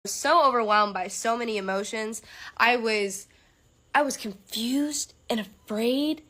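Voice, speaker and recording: A woman's voice: fairly high pitch, measured pace, bright, forceful tone, slight background noise. A woman giving an emotional personal statement in a shaky voice that is close to tears.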